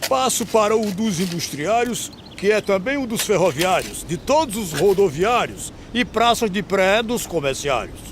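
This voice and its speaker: An actor playing a weary, complaining veteran gravedigger in an animated film, speaking plaintive rhythmic verse. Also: male voice, high voice, moderate pace, hardly any pauses, background noise. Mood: resigned.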